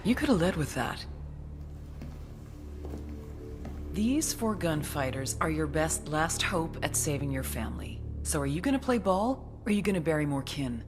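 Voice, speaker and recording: An actress playing a blunt, sardonic intelligence agent in a video game, wry and intimidating. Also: female voice, sits low, very animated, normal speed, few pauses, over music. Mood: taunting threat. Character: tough, foul-mouthed, sardonic female intelligence agent. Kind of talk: video game briefing narration and interrogation.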